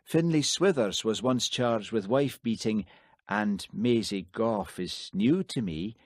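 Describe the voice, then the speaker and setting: A quiet, shrewd country constable who speaks in a calm, measured way, as a male reader puts him on. The voice is low, dry and close. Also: dry recording, natural voice.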